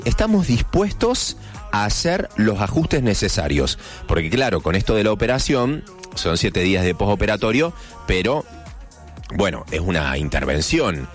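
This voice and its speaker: Chatty Argentine radio host, lively voice. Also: male voice, fairly low pitch, moderate pace, soft background music. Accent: Argentine, Córdoba. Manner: chatty, jokey asides, playful mock voices. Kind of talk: casual morning radio chat.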